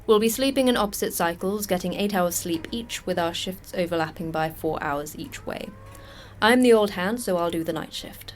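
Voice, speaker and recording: A woman's voice: fairly low pitch, normal speed, slight background noise, natural voice. A woman playing a jaded, terse station manager in an audio drama, dry and matter-of-fact. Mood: wry briefing. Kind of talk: audio fiction podcast acting.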